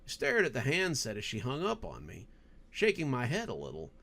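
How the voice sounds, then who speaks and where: A man's voice: medium pitch, wildly swooping pitch, brisk, vocal fry, fairly bright tone. A man reading scripted first-person creepypasta narration as a horror storyteller.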